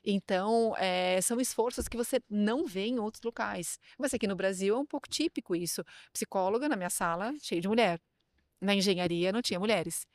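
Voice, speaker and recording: A woman speaking as an HR director guest on a podcast, in a lively, chatty way and quick to agree. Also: medium pitch, no room sound, clean studio sound. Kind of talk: casual interview conversation.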